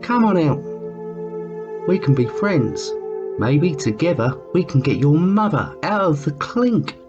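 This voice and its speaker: A lo-fi recording with music behind. A small, tough, loyal circus mouse played by a man speaks in folksy, streetwise fashion, a little high in pitch. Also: big pitch swings, a little slow. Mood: coaxing. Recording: muffled lo-fi sound with music behind.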